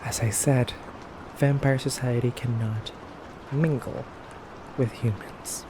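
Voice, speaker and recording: Effeminate male vampire, acted by a man, ASMR roleplay, slow deliberate delivery, middle pitch, teasing menace, breathy pauses, noticeable background noise. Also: steady tone, lightly acted. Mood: stern, threatening. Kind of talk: ASMR roleplay, soft intimate acting.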